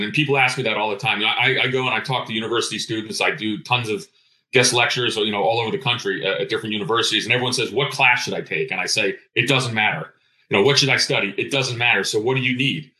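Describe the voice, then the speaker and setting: A man's voice: on the low side, fast, pushed and bright. A middle-aged man telling personal stories as an interview guest, earnest, emphatic and conversational. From born in Greece. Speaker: interview guest, retired intelligence officer.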